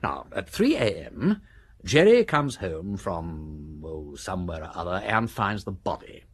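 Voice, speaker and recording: An actor playing a witty aristocratic English amateur detective in a British radio drama, brisk and theatrical as he reasons aloud. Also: low voice, big pitch swings, breathy edge, heavily acted. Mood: keen, argumentative. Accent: upper-class English.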